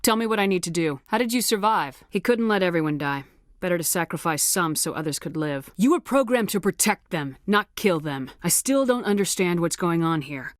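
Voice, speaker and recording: A woman's voice: on the low side, lively intonation, fairly quick, no room sound, studio quality, lightly acted. A woman playing a determined, commanding military officer in a video game, speaking in a firm, clipped, authoritative way. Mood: serious, questioning. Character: commanding female military officer, steely, determined space soldier. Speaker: voice actor. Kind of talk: video game dialogue acting.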